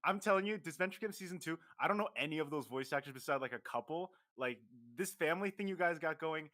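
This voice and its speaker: A man's voice: a little high, fast talker, bright, forceful tone. A man on a video call, playful and laughing as he talks. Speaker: voice actor and recruiter. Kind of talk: casual guest talk.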